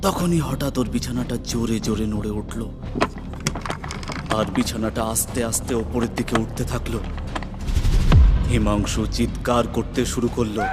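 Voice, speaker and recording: A man narrating a scripted horror story in a suspenseful, dramatic way. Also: lowish voice. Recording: narration over music. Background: music.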